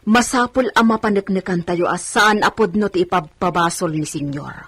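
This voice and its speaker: A woman's voice: medium pitch, moderate pace, a bit bright, breathy edge, dry recording. A woman playing an anxious, persistent older village servant in a radio drama, earnest and coaxing. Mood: anxious, urging.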